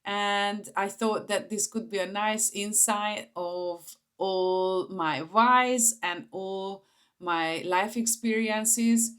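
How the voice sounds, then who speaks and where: A woman's voice: mid-range voice, very slow, fairly bright tone, clear, resonant tone. A woman in her forties with a Hungarian accent, talking informally to camera as she shares personal stories. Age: 40s. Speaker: vlogger, entrepreneur.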